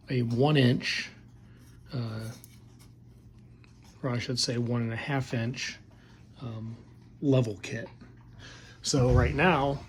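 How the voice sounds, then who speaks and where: A man's voice: mid-range voice, slight background noise. A man giving a casual, unscripted hobby product demo in a hesitant, rambling, plain-spoken way. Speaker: hobbyist video presenter.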